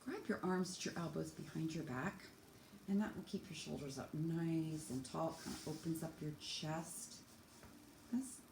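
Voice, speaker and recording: Echoey, low-voiced woman leading stretches. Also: normal speed, vocal fry, a little mellow. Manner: encouraging, simple step-by-step cues. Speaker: teacher leading a children's yoga stretching class. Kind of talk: instructional exercise guidance, demonstrating while talking. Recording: echoey room, faint background noise.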